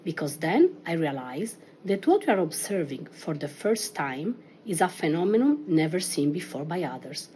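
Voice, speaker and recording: A woman's voice: low voice, sing-song, moderate pace, few pauses, mellow, a little hiss or hum. A middle-aged woman from Italy with an Italian accent, a researcher presenting herself and her work in scripted English. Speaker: research associate.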